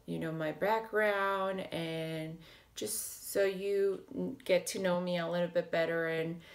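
A woman in her thirties talking to the camera in a casual home vlog, slightly hoarse and raspy, with many fillers and restarts. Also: lowish voice. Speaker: health vlogger. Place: small home office with bookshelves, indoors. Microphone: webcam or laptop camera at desk.

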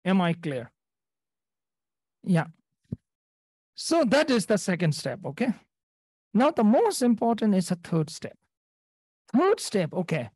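A man's voice: high-pitched, a little mellow, breathy edge, dry recording, studio quality. A man from Nepal teaching a dharma class over a video call, often restarting phrases and checking in with the listeners. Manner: halting, repeats phrases, checks in with "okay?". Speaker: Buddhist teacher.

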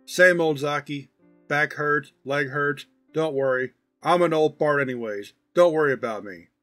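A man's voice: fairly high pitch, a little slow, a bit bright, soft background music. A man voicing an affectionate, proud, teasing old grandfather in a fond, folksy, chatty manner for a story reading.

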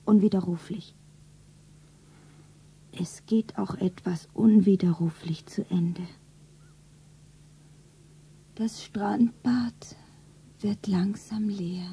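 An actress playing a lovestruck, anxious young shop girl in a romance radio play, breathy and often whispering, dreamy and sentimental. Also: mid-range voice, steady tone, slow, long pauses, warm tone, exaggerated voice. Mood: wistful. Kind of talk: 1970s German radio play, pulp romance.

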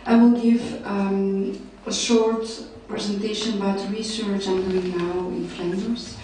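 A woman's voice: medium pitch, level tone, measured pace, mellow, slight background noise. Hesitant woman presenting in an echoey hall. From Belgium. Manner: hesitant, many fillers and restarts. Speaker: researcher presenting at a summer school. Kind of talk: academic conference presentation. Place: large lecture hall, seated at a panel table. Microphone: table mic, recorded by a far camera. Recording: very echoey hall, voice far from the mic.

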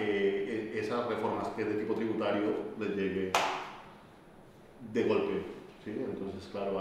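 A man's voice: lowish voice, darkish tone, slight background noise. A man in his forties with a Colombian accent from Bogotá, answering interview questions hesitantly and repeating words as he searches for them. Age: 40s. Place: bright empty classroom, indoors. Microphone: far camera mic. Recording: echoey room from far off.

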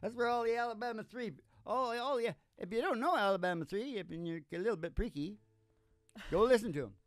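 A man's voice: high voice, brisk, dry recording, studio quality. An older man hosting with casual, joking banter in a rambling manner. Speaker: podcast host and singing musician. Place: small curtained studio stage. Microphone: stand microphone. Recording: live with loud acoustic guitar. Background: acoustic guitar.

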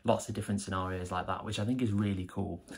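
A man's voice: on the low side, fairly even intonation, fast, slightly rough. A young man gives an earnest, enthusiastic devotional talk to camera in a small plain room. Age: young adult. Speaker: church member giving a devotional.

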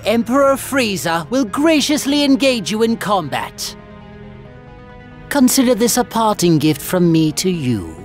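Game villain: haughty alien tyrant, pompous and formal, theatrical, very animated pitch, over music. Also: male voice, full character voice. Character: haughty male alien emperor, cruel, refined and theatrical. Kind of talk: dramatic English game dub acting.

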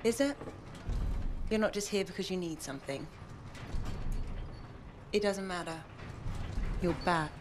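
An actress playing a composed, sensible mission navigator in a video game, with a measured, dry, precise manner. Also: female voice, lowish voice, over music, close to an everyday voice. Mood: guarded, wry. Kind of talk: video game dialogue acting.

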